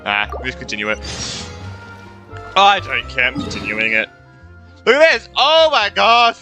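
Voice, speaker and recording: A man streaming a game with casual, excitable live reactions, full of exclamations. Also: high-pitched, moderate pace, faint music behind.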